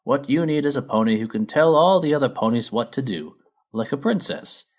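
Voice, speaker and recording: A man voicing a wise, helpful old male pony from a storybook, with measured, drawn-out emphasis. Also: mid-range voice, natural voice. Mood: sage advice. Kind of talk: storybook character voice.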